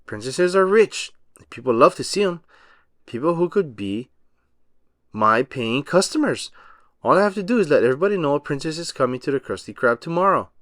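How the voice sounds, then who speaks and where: A man reading aloud and voicing a greedy, gruff older male crab boss who barks and schemes about money. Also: on the high side, expressive, a little fast, a bit bright, no echo, clean studio sound. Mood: scheming. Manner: barking, money-hungry, salty.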